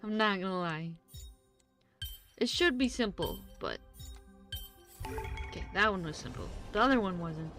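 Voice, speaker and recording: A woman doing casual live game-stream commentary in an expressive, exclamatory, giggly way, swearing freely. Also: mid-range voice, measured pace, pauses often. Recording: game music behind the voice. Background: video game music.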